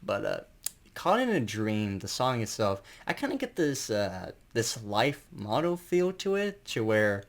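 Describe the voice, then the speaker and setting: A man's voice: fairly low pitch, big pitch swings, unhurried. A man giving a casual, unscripted album review as an enthusiastic fan, rambling and colloquial, with some mild swearing.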